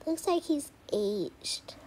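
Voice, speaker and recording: A woman's voice: high-pitched, sing-song, extremely slow, darkish tone, full character voice. A woman voicing a kindly, hesitant mother in her thirties in an amateur cartoon doll story. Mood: hesitant, polite. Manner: hesitant, polite. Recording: clearly noisy home recording.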